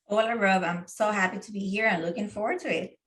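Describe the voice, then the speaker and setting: A middle-aged woman speaks as an interview guest. Her voice sits at an ordinary middle pitch, and she talks earnestly, often pausing on fillers and restarting her phrases. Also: fairly even intonation, fairly bright tone. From Puerto Rico. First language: Spanish. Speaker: guest, diabetes advocate and parent. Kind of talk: casual interview.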